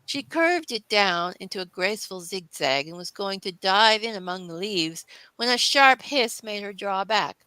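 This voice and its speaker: A woman's voice: medium pitch, lively intonation, moderate pace, hardly any pauses, pushed and bright, clear, resonant tone, dry recording, low-quality recording. A woman reading scripted storybook narration as the narrator of a classic tale.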